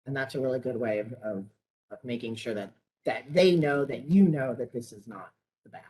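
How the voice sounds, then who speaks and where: A woman's voice: rumbling low, normal speed, warm tone, husky, poor audio. A woman trainer on a conference call, explaining and answering questions informally.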